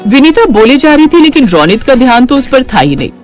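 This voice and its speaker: A woman's voice: sits high, very animated, brisk, faint music behind. A woman storyteller reading scripted narration for a cartoon fairy tale.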